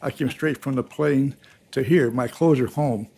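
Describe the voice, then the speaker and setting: An older man, slightly hoarse, giving plain, earnest farewell remarks at a county board meeting. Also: medium pitch, moderate pace, a little mellow. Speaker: retiring county legislator.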